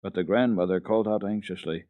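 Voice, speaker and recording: A man's voice: sits low, steady tone, fast, no room sound, narrow, tinny audio. A man narrating a children's classic as a radio storyteller, in a dramatic reading style.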